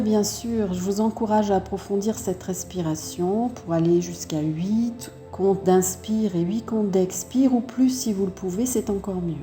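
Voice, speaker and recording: Mellow, slow-paced woman guiding yoga in a roomy space. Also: mid-range voice. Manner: calm, measured step-by-step guidance with pauses. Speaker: yoga teacher. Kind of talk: guided yoga and breathing instruction.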